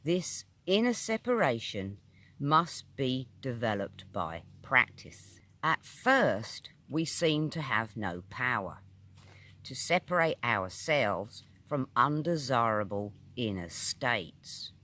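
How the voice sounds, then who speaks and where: A British man narrates a written teaching at a slow, deliberate pace. His pitch moves expressively up and down through each thought. He pauses between short phrases and sounds instructive throughout. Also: very animated, sharp tone. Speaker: audiobook reader. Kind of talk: scripted narration of a metaphysical article.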